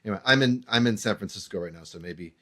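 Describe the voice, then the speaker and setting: Brief: male co-host, lowish voice, wry rambling asides. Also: quick pace, no echo. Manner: wry, rambling, trailing off quietly. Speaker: podcast co-host. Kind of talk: casual comedic chat.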